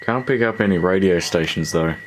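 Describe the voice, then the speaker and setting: A man's voice: low voice, brisk. A man talking casually through a hands-on repair video, hesitant and thinking aloud as he goes. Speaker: host of a radio repair video. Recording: clear background noise with electrical hum.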